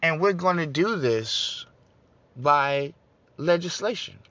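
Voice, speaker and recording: A man's voice: a little high, lively intonation, slow, fairly bright tone, no echo, muffled recording. A man giving an emphatic political talk to his online audience, repeating his points and calling listeners "guys". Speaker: political speaker on a broadcast.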